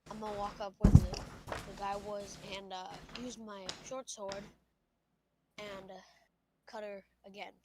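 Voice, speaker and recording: A woman's voice: medium pitch, flat delivery, measured pace, long pauses. A woman playing a tabletop game, talking casually about her moves, picked up faintly on a noisy microphone.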